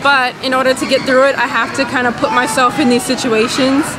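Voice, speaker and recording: A young woman talking candidly to a handheld camera in a casual vlog, soft-spoken with frequent ums, filming on a bus and in a supermarket. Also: high-pitched, little pitch movement, brisk. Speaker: vlogger. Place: busy public places in a city, on a bus and in a crowded supermarket. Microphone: handheld self-filming camera. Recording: loud crowd and street noise. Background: crowd chatter and traffic noise.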